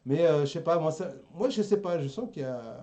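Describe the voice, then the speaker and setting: A man's voice: a little high, lively intonation, brisk, dark tone. A man hosting a casual chat, searching for his words as he goes. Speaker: show host.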